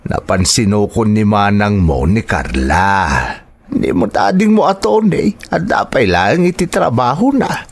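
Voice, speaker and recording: A man's voice: fairly low pitch, big pitch swings, unhurried, slightly rough, dry recording, heavily acted. A man playing a loving, hesitant older father with an Ilocano accent in a family audio drama. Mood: welcoming. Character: older father, loving but hesitant bearer of bad news.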